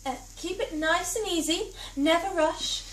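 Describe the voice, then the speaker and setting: A woman reading plays aloud as an amateur actor, brisk and chatty. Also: very high-pitched, slight background noise. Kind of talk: play reading, theatrical. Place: small theatre stage. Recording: roomy theatre from far off.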